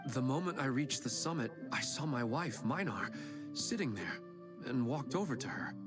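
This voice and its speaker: A man voicing an earnest husband missing his wife in a game, wistful and unhurried. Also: medium pitch, animated, lightly acted. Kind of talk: game voice acting. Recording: game audio over loud music.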